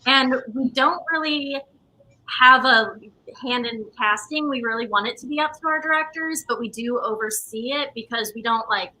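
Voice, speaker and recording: Bright-voiced, informal woman in her twenties. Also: a little high, normal speed, poor audio. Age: 20s. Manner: informal, conversational. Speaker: theater company co-founder, interview guest. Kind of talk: casual interview chat.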